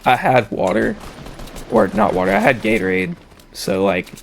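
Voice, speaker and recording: Young dude w a slight cold, crackly hoarse voice, slangy storytime chat, bit of background noise. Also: male voice, high voice, very animated, normal speed. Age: young adult. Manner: slangy, frequent swearing. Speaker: storytelling host.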